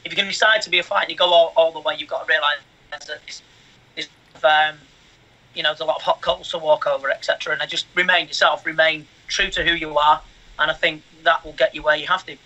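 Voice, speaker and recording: A man's voice: fairly high pitch, fairly even intonation, quick pace, bright, forceful tone, dull audio. A man, a mind coach, giving earnest advice as an interview guest, often restarting his sentences and filling with "you know". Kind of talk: casual interview answers.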